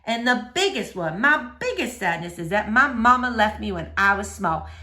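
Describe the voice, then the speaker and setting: A woman's voice: medium pitch, sing-song, normal speed, bright, forceful tone. A woman voicing a curious, earnest young girl with a plain-spoken, questioning manner and some sadness underneath, as in a children's storybook. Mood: earnest, sad.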